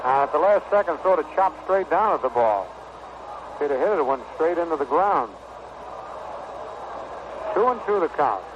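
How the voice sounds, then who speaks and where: American baseball announcer on noisy vintage radio. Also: male voice, fairly high pitch, expressive, moderate pace, pauses often. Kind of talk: 1960s radio sports commentary. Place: stadium broadcast booth. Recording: noisy dull vintage 1960 radio broadcast.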